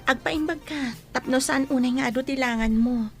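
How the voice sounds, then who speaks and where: A woman's voice: high voice, moderate pace, music in the background. A woman playing a caring, earnest schoolgirl friend in a radio drama. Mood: caring, concerned. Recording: faint background ambience and noise.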